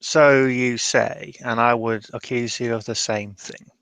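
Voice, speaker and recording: A man playing a courteous, dryly doubtful old hermit mage in a tabletop roleplay, musing haltingly in old-fashioned wording. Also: medium pitch, steady tone, a bit bright, clean tone, no echo. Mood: wry.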